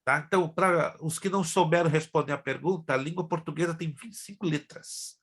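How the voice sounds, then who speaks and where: Gravelly husky pastor teaching a class. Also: male voice, fairly high pitch, a little fast, fairly bright tone. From Brazil. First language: Hebrew and Spanish. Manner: chatty, addresses class directly. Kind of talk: online class lecture.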